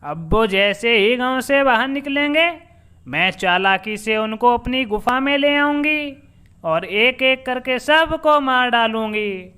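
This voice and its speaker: Cartoon witch, acted by a male narrator: scheming, gloating menace, shrill high pitch, forceful delivery. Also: expressive, clear, resonant tone, exaggerated voice. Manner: gloating, drawn-out threats, cackling.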